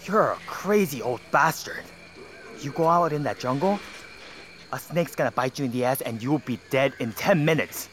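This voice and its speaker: An actor playing a blunt, worried miner arguing as a son in an audio drama, colloquial and exasperated. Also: male voice, mid-range voice, lively intonation, moderate pace, fairly bright tone, slight background noise, lightly acted. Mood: scornful.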